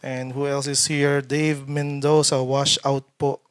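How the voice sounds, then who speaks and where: A man casually hosting a live-streamed panel, reading questions and shout-outs in a hesitant, halting way. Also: mid-range voice, little pitch movement, smooth.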